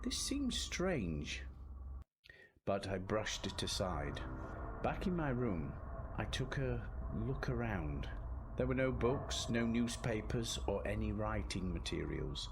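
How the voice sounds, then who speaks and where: Male actor as earnest Victorian gentleman, lowish pitch, very expressive, formal theatrical narration, radio drama, noisy ambience. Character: earnest, polite Victorian Englishman narrating his travels. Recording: clear background ambience noise.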